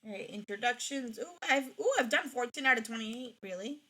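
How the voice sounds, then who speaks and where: A woman's voice: a little high, animated, a little fast, sharp tone. A young woman chatting casually while playing a game, rambling with frequent restarts. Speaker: streamer. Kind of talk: casual gaming chat.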